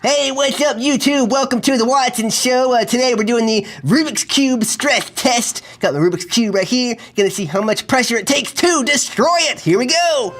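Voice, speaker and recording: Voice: mid-range voice, moderate pace, few pauses, music in the background, lightly acted. An actor playing a brash, overdramatic, goofy male buddy in a children's comedy sketch, with a hammy, teasing manner. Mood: showy. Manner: hammy, teasing, hesitant stammering when confessing.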